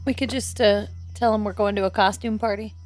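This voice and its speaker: A woman playing a tabletop game, chatting casually and playfully with quick quips. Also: medium pitch, fairly even intonation, soft background music. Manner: playful, quipping.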